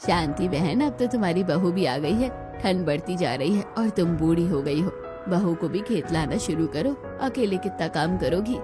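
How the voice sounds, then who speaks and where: A woman's voice: lowish voice, lively intonation, moderate pace, lightly acted. A woman voicing a nosy, chiding village woman who works on a tea farm, in a cartoon story. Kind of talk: scripted cartoon story dialogue. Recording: music behind the voice.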